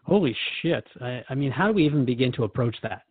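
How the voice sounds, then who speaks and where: A male radio host with a medium-pitched voice, recorded dry and close. He speaks conversationally, with an earnest and reflective manner, as if thinking questions through. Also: lively intonation, fast, no room sound, phone-quality sound. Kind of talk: conversational interview with a spirit guide.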